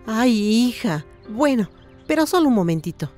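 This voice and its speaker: An actress as a gentle, highish-voiced mother. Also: very animated, moderate pace, soft background music. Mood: indulgent. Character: caring, gently indulgent mother of a grown daughter. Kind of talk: radio soap opera acting.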